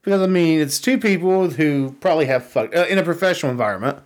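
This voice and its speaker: A man's voice: a little high, expressive, fast. A man co-hosting a podcast in casual chat, rambling and restarting his sentences, sitting a little back from his mic. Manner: rambling, restarts a lot, laughs at his own jokes. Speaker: podcast co-host. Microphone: studio mic, sitting a bit back from it.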